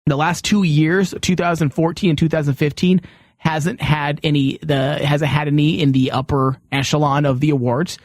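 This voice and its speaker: A man with a slightly high voice hosts an opinionated panel discussion. He argues emphatically, driving his points home. The sound is dry and close, with little room around it. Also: fairly even intonation, normal speed, no room sound. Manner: emphatic, argumentative. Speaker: podcast host.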